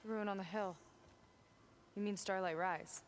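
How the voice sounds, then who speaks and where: Bold, brisk young warrior woman played by actress. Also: mid-range voice, steady tone, faint music behind, close to an everyday voice. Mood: probing. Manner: brisk, direct, confident. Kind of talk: video game cutscene dialogue.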